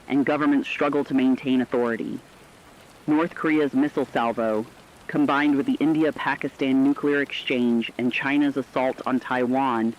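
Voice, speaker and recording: A man playing a sombre radio war correspondent, delivering a scripted news bulletin in a solemn, dramatic, deliberate way. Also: medium pitch, natural voice. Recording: dull lo-fi radio sound, faint noise.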